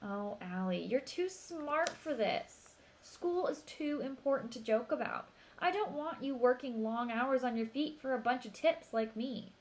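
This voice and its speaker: A woman's voice: high voice, animated, a little slow, hardly any pauses, a little hiss or hum, voice pushed up. Woman reading as a weary, concerned scolding mother. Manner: earnest, pleading, admonishing.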